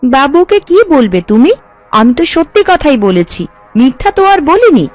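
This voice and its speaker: A woman playing an outspoken, high-pitched village woman. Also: sing-song, moderate pace, darkish tone, faint music behind. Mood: indignant, scolding. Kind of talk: animated folk-tale cartoon dubbing.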